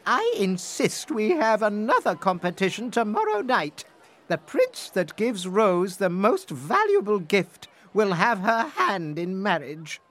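A man voicing a haughty, scheming queen in a fairy tale, in a sharp, insistent manner. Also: high voice, very animated, a little slow, pitched above the performer's own voice, exaggerated voice. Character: haughty scheming queen, middle-aged woman.

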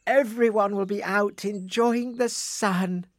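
A woman playing a self-important but affectionate wife in a children's fairy tale, with sighs and animated exclamations. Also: medium pitch, slow pace, no echo, studio quality, lightly acted. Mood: contented.

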